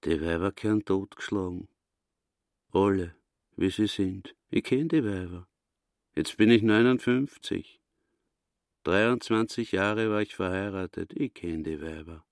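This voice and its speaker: A man's voice: a little slow, no echo, clean studio sound. An actor playing a brutal, bitter caretaker in his fifties with an Austrian accent, blunt and hectoring, in a radio play. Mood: gruff, boastful. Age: 50s. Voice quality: gravelly, low. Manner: blunt, hectoring, asks and answers himself. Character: brutal, misogynistic caretaker aged fifty-nine, bitter and boastful.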